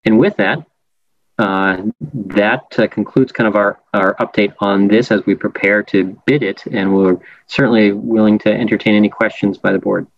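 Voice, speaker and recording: A man's voice: fairly low pitch, steady tone, moderate pace, no echo. A man presenting a building project update to a school board on a video call, with many fillers and restarts. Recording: dull, dry call audio.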